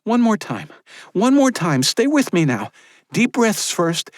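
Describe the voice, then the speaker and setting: A man's voice: high-pitched, big pitch swings, unhurried, no echo, clean studio sound, voice pushed up. A man voicing a woman who delivers a baby under pressure, urging and commanding her patient. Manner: urgent, pleading, commanding.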